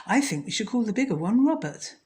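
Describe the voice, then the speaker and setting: A woman voicing an excitable, inquisitive, anxious man in a children's storybook, with an eager, questioning manner. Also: fairly high pitch, brisk, voice pushed up. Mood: curious, eager. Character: excitable, inquisitive man who keeps monsters, polite and anxious.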